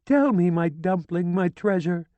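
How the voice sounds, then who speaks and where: A man's voice: expressive, unhurried, mellow, no echo, muffled recording. A man reading an audiobook, voicing a hysterical older woman who wails, pleads and screams in grief. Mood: pleading. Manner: shrill, frantic pleading and screaming. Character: hysterical grieving older woman, wailing and shrieking. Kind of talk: audiobook character voice.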